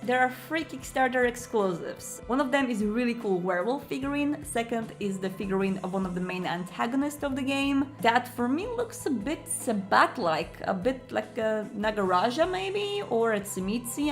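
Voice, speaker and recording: High-voiced, enthusiastic woman vlogger over music. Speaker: YouTube host reviewing a board game. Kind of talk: casual vlog review, chatty and enthusiastic.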